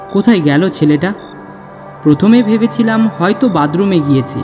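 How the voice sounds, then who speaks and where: Male actor for a young, curious, scared horror-cartoon narrator; mellow, dramatic, heavy reverb. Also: high voice. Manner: suspenseful, dramatic delivery. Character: young man, frightened, curious narrator of a ghost story. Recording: long reverb, music underneath.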